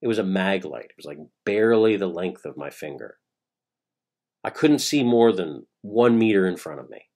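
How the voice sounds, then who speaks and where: A middle-aged Canadian man performs an autobiographical monologue with a husky edge. His delivery swings widely in pitch as he builds suspense through theatrical phrasing and deliberate pauses. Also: very animated, a little mellow. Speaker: playwright reading his own play. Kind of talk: dramatic autobiographical monologue, storytelling.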